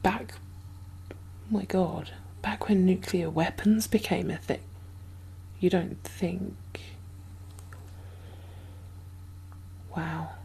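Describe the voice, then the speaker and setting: A woman playing a caring, uneasy survivor of a zombie apocalypse in a soft-spoken ASMR roleplay, breathy and hesitant, often slipping into whispers. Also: lowish voice, fairly even intonation, full character voice, low-quality recording. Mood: disturbed, suspicious. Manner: hesitant, musing, halting.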